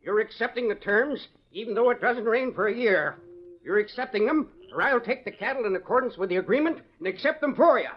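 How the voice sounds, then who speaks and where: A man's voice: very high voice, lively intonation, fairly quick, slight background noise. An actor playing an indignant, sputtering American small-town banker, anxious about his money, in a 1940s radio western. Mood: blustery, insistent. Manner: sputtering, blustery. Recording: muffled old radio recording.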